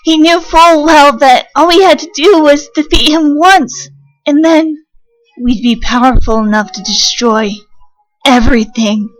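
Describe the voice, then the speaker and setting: An earnest child played by a woman speaks in a high voice, slowly and solemnly, pausing between phrases. Music runs underneath the dramatic fan dub. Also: low-quality recording. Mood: remorseful, determined.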